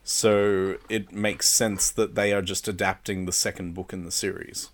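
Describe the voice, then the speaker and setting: A man's voice: low voice, little pitch movement, moderate pace, a little hiss or hum. A man co-hosting a casual film chat, explaining films with enthusiasm. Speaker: podcast co-host, film reviewer.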